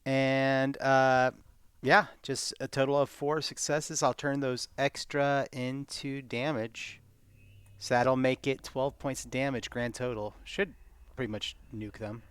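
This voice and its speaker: A man plays a tabletop game, talking casually in a medium-pitched voice. His delivery is slow, and he mutters and counts aloud as he goes. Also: little pitch movement, a bit bright, clear, resonant tone, no echo.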